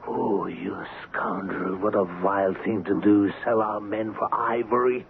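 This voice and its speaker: An actor in a radio drama playing an indignant, excitable colonial company man, with theatrical, formal, exclamatory delivery. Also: lowish voice, measured pace, vocal fry, narrow, tinny audio. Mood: appalled, outraged. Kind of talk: old-time radio drama acting. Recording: thin, noisy vintage radio broadcast.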